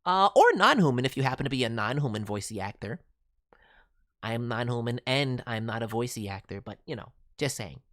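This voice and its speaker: A performer voicing a cheeky, excitable non-human cartoon mascot who hosts a casual stream with boastful, playful word-mangling. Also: mid-range voice, fairly quick, no room sound, clean studio sound. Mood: chatty, musing. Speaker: host reviewing voice demo reels. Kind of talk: casual live stream commentary.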